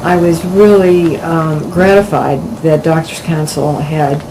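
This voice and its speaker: A woman with a very low voice speaks reflectively, hesitating often on "um". A steady air-conditioning hiss is clearly heard under her. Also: takes it slowly. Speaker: volunteer doctor. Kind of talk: recounting experience to camera.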